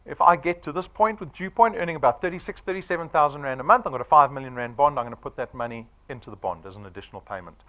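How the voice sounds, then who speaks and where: A man's voice: medium pitch, racing, hardly any pauses, sharp tone. A man of forty with a South African accent, giving a punchy sales presentation to an audience and firing off rhetorical questions. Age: 40s.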